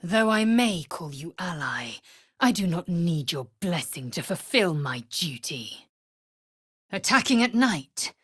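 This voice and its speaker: A proud young female alien warrior from a fantasy video game. The voice sits low, with a raspy edge and a measured, unhurried delivery. She sounds haughty and formal, biting off her phrases with fierce scorn. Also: very animated, no echo, studio quality, heavily acted. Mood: disdainful. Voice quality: husky, raspy. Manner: clipped, imperious, haughty, formal. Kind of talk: video game character voice acting.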